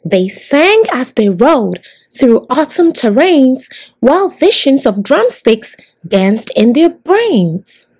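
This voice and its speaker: A woman storyteller reading a picture book aloud to young children in a deliberate, expressive way. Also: high voice, breathy edge. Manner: deliberate, animated, addressing young listeners.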